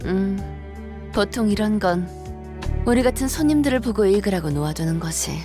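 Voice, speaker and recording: A woman's voice: mid-range voice, lively intonation, moderate pace, close to an everyday voice. An actress playing a cool, easygoing woman in a Korean game, speaking casually and familiarly. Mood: relaxed, curious. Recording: music behind the voice.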